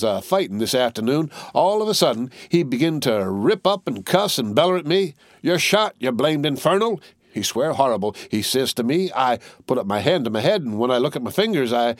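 A man's voice: mid-range voice, no room sound, clean studio sound, pitched above the performer's own voice, close to an everyday voice. A man voicing a rambling wounded country soldier. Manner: drawling backwoods dialect, halting and rambling. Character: wounded rural male soldier, rambling, folksy. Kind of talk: audiobook character voice.

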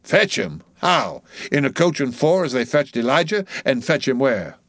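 A man's voice: medium pitch, big pitch swings, moderate pace, fairly bright tone, dry recording, clean studio sound, voice pushed up. A man voicing a bossy ship's officer in an audiobook sea story, commanding and teasing with mock solemnity.

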